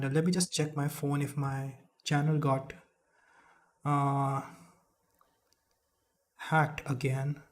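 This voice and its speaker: A man's voice: medium pitch, slight background noise. A young man with an Indian accent, whose first language is Rajasthani, hosting a live stream in casual chat, hesitant with many fillers and restarts.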